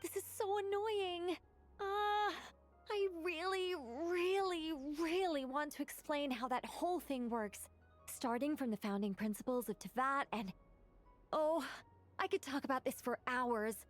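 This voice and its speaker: A woman's voice: high voice, measured pace, faint music behind. A woman voicing a knowing angel mage in a video game, chatty and explanatory while trying to stay solemn. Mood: flustered. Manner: explanatory, rambling, self-correcting.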